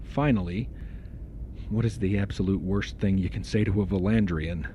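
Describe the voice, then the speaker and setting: An affable, curious starship commander played by a man with a low, mellow voice. He is wry and informal in a sci-fi audio drama, over faint background noise. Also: steady tone, normal speed, highs cut off, close to an everyday voice. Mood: teasing. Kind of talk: fan audio drama acting.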